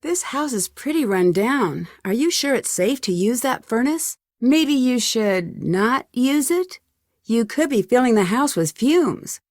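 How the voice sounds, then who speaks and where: A woman's voice: a little high, animated, measured pace, darkish tone, no room sound. Inquisitive young American girl detective, dry sound. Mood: pressing, confrontational. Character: inquisitive, determined young female amateur detective. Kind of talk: scripted video game dialogue.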